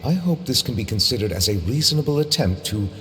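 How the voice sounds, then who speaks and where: An actor playing a dignified, reserved chief judge in a video game, speaking in a measured, precise, formal manner. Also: male voice, medium pitch, expressive, bright, forceful tone, in a large hall, faint music behind. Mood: earnest, courteous.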